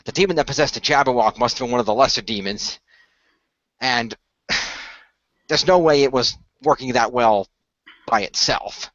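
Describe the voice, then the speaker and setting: A man playing an earnest team leader who explains things in a measured way in a radio play, on dull audio. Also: mid-range voice, a bit bright, dry recording, lightly acted. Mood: grave, explaining. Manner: measured, expository, sighing.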